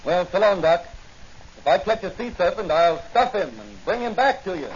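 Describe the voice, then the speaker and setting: A man's voice: high voice, sing-song, normal speed. An actor playing an earnest young rookie patrolman in a 1940s radio crime drama. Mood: confident, easygoing. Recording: muffled old 1940 radio recording with music.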